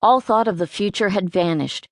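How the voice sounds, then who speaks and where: A woman's voice: medium pitch, normal speed, a little mellow, dry recording. A woman reading a children's fantasy story as an audiobook narrator, in scripted storytelling style. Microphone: close studio mic, dry.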